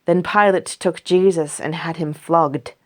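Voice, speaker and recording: A woman reading a scripture story aloud for a church congregation, slow and measured. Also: on the low side, steady tone. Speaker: scripture reader. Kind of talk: scripted read-aloud narration.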